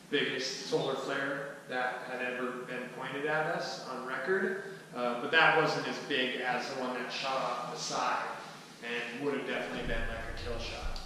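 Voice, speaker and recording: Far from the microphone in a very echoey hall sounds a man with a bright, forceful voice. He presents casually, pausing and restarting as he thinks aloud. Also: mid-range voice, a little hiss or hum. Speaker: presenter at a skillshare. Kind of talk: informal talk to a small group. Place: large wooden-floored hall, indoors. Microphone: distant camera microphone.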